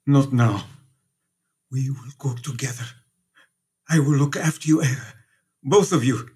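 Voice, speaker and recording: An actor playing a protective, devoted priest in a radio drama, husky, speaking in short, insistent sentences. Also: male voice, mid-range voice, a little slow, warm tone. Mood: earnest, reassuring. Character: urgent, protective immigrant priest, resolute and devoted.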